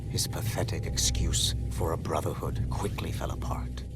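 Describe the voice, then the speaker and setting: Male actor as stern Templar historian, deep voice, cold contempt, measured formal delivery, video game narration, loud music bed. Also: little pitch movement, whispered, natural voice. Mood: cold disdain. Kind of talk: scripted dramatic narration.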